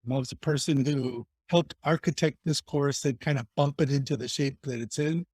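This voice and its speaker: A man's voice: medium pitch, fairly even intonation, normal speed, a little mellow, husky, no echo. Nasal Chicago man, dry close sound. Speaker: program design lead. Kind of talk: webinar introduction.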